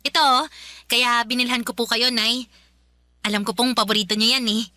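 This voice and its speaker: A woman's voice: fairly high pitch, fairly even intonation, moderate pace, pushed and bright, no echo. A woman playing a dutiful, apologetic teenage Filipino schoolgirl in a radio drama, speaking politely and hesitantly. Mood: eager, nervous.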